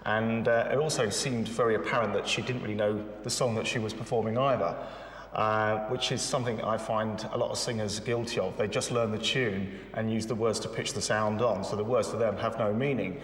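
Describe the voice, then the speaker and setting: A man's voice: lowish voice, fairly even intonation, brisk. A man working as a vocal coach, explaining and encouraging a singer with vivid images. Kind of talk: interview and singing lesson. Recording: echoey room with loud music behind.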